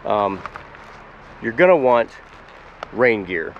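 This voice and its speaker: Relaxed, low-voiced man outdoors in woods. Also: takes it slowly, lo-fi sound, a little hiss or hum. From Pennsylvania, US. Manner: relaxed, chatty, explains plainly with fillers. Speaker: foraging channel host. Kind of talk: casual gear walkthrough to camera.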